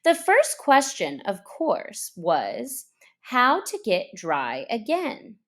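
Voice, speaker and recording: A woman reading a children's story aloud as a narrator, in an expressive and deliberate way. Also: fairly high pitch. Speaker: storybook reader.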